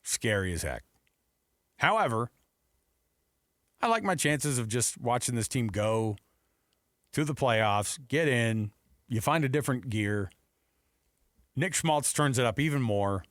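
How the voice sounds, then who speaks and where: Sports radio host: American man, animated and casual, very varied intonation, dry studio mic. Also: mid-range voice, a little slow, pauses often, no room sound. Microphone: close studio broadcast microphone.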